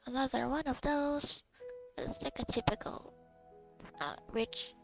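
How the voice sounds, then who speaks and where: A woman's voice: sits high, big pitch swings, measured pace, vocal fry, low-quality recording. A woman giving casual commentary while playing a game, offhand and rambling, often trailing off mid-thought. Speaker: gamer commenting on a playthrough. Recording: game music behind the commentary.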